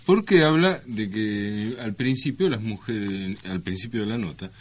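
An Argentine man with a husky voice on the low side, co-hosting radio. He talks casually and a little haltingly, with tongue-in-cheek irony. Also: animated. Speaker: radio host. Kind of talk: casual co-hosted radio talk. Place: radio studio.

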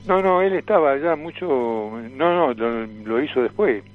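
A man's voice: medium pitch, lively intonation. Elderly Argentine man reminiscing, slightly muffled sound. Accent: Rioplatense Argentine. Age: 70s or older. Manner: rambling, halting, with repeated filler words. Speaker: guest, veteran cartoonist, impressionist and tango singer. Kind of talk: reminiscing in a radio interview.

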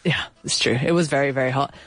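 A woman's voice: rumbling low, fairly even intonation, fast, dry recording. A woman hosting a casual bilingual chat, explaining English words, chatty and laughing, with a runny nose. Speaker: podcast host teaching English.

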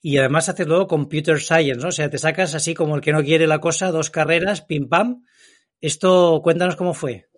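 Higher-voiced male co-host asking questions. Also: fairly even intonation, normal speed. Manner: friendly, asks questions with "¿no?" tags. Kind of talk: interview questions.

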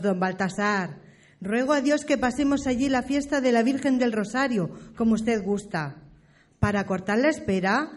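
A woman's voice: fairly high pitch, a little mellow. A woman from a radio play, with an accent from Spain, playing a priest's devoted servant in formal, old-fashioned, respectful speech. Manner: deferential, formal archaic speech. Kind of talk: period radio play acting.

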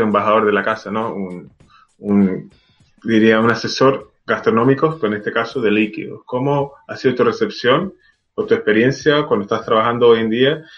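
A man from Venezuela, hosting a podcast in a casual interview style. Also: lowish voice. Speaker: podcast host. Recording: dull lo-fi audio, music behind. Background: background music.

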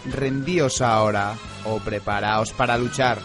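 A man's voice: mid-range voice, fairly even intonation, measured pace, natural voice. A man playing a goofy, easygoing former villain in a comic radio play, with a theatrical delivery. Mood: grandiose. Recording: radio play with music underneath.

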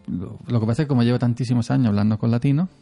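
A man's voice: mid-range voice, rapid-fire, gravelly, mellow, soft background music. A man from Andalusia with an Andalusian Spanish accent, chatting casually as a podcast co-host, rambling and chuckling often. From Andalusia, Spain. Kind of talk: casual chat.

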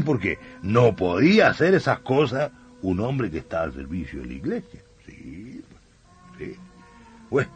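Folksy Chilean male storyteller, lowish voice, hugely varied intonation, radio-drama narration. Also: muffled recording. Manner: colloquial, expressive, folksy. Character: folksy male storyteller telling a devil legend.

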